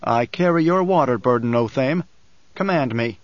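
A man voicing a grave desert emperor in an audiobook, commanding and loyal, solemn and restrained but forceful. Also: mid-range voice, animated, normal speed, no echo, highs cut off, natural voice. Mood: firm, loyal.

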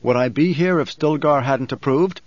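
A man voicing a grave desert emperor in an audiobook, commanding and loyal, solemn and restrained but forceful. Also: medium pitch, moderate pace, dry recording, muffled recording, lightly acted. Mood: firm, loyal.

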